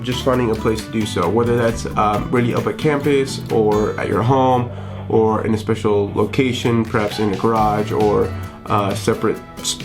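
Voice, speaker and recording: A young adult man hosting a casual vlog from his small home office studio, talking in an upbeat, rambling way with many fillers and restarts. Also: mid-range voice. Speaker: vlogger.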